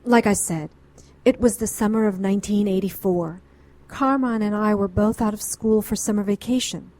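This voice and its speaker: A woman's voice: medium pitch, normal speed, warm tone, close to an everyday voice, rough recording. An actress playing an anxious, educated middle-aged woman client in a noir radio drama, earnest and pleading. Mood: earnest, rambling.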